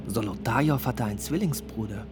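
An actor playing a brooding, haunted son seeking the truth in a German radio drama, introspective and grave. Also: male voice, medium pitch, a little fast, over music, lightly acted. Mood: quietly sceptical.

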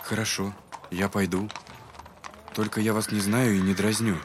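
An actor playing an earnest, gentle young man in an audio drama, polite and pleading. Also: lowish voice, little pitch movement, moderate pace, noisy background, close to an everyday voice. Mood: gentle, puzzled. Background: music.

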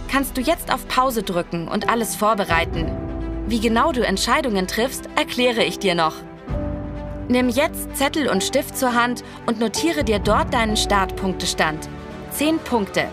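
High-voiced woman narrating an interactive adventure. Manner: clear, directive, addressing listener directly. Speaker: narrator and game guide. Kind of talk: scripted instructional narration for young listeners.

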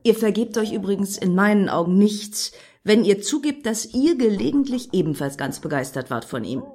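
An actress in a radio play voicing an excitable, obsessive female fan who muses dreamily and justifies herself as she rambles. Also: medium pitch, normal speed, natural voice. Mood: musing.

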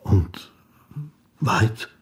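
A man's voice: drawn-out words, darkish tone. An actor playing a meek, wistful, naive man telling his story in a German radio drama, hesitant and earnest. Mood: meek, uneasy.

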